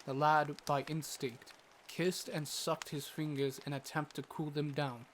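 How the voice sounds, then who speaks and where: A man plays a learned, exasperated doctor telling his fantasy tale. His voice is slightly high and very bright, his delivery slow and dramatic, over noticeable background ambience. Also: little pitch movement, a little hiss or hum. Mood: grave, measured. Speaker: narrator and lead character. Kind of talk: dramatic scripted narration.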